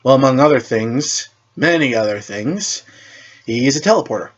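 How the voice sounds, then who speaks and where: Man playing a calm, cryptic superhero leader. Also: mid-range voice, moderate pace, muffled recording, natural voice. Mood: amused.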